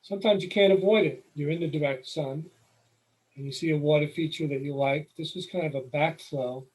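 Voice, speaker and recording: A middle-aged man with a New York accent, explaining nature photography while walking through his slides in a relaxed, explanatory way. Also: fairly high pitch, brisk, rough recording. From New York City. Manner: relaxed, explanatory, with occasional fillers. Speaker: photography presenter. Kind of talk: slide presentation lecture.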